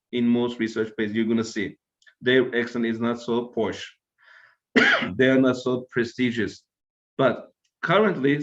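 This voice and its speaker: A man's voice: mid-range voice, highs cut off. A man from Turkey with a Turkish accent, giving an academic lecture and often checking in with "right?". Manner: explanatory, tags points with "right?".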